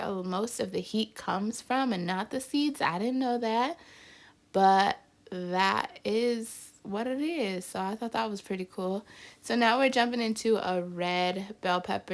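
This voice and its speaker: Congested woman hosting a cooking vlog. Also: medium pitch. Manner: chatty and upbeat, says "y'all" often. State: congested, stuffy nose. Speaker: cooking channel host. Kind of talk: casual cooking vlog narration.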